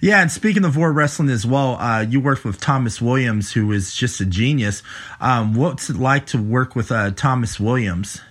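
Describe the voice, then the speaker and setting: Guy doing a casual interview, kinda husky voice, lots of ums and uhs. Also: medium pitch, expressive, normal speed, a bit bright. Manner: hesitant, filler-heavy questions.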